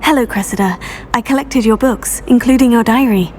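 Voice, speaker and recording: A fairly high, composed British young woman's voice from an actress as a helpful student witch in a video game. She is measured and kindly, with faint noise behind her. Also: a little mellow, natural voice. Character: calm, helpful teenage witch, new student heroine. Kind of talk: video game dialogue acting. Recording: faint background ambient noise.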